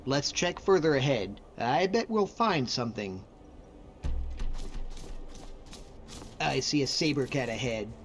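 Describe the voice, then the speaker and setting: A man's voice: mid-range voice, animated, moderate pace, long reverb tail, lightly acted. A man voicing a young, earnest, devout Nordic hunter, a loyal companion in a video game, talking in an informal, conversational way. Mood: alert, eager. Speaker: video game follower character. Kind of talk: conversational game character voice acting.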